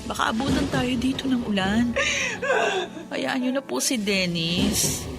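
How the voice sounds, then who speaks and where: An actress playing a resolute, long-suffering young widow in an earnest radio drama style. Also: female voice, a little high, measured pace, gravelly, music in the background. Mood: pleading, restrained. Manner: earnest, dramatic, pleading yet firm. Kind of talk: Tagalog radio drama acting.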